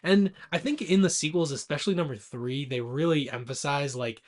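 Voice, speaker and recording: A man's voice: a little high, brisk. A man co-hosting a podcast, chatting casually about films and repeating his points for emphasis. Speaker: podcast co-host. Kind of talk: casual movie chat.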